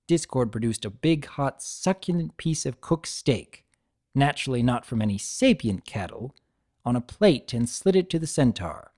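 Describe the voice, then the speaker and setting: A man's voice: medium pitch, expressive, normal speed, darkish tone. A man reading scripted story narration as the narrator of a dramatic tale.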